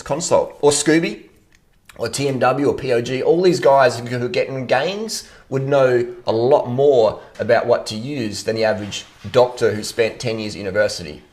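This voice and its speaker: A man speaks off the cuff at a middling pitch, like an online commentator answering his viewers. He is blunt and opinionated, swears freely, and hammers points home by repeating them. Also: normal speed, a little mellow. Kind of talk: casual unscripted rant.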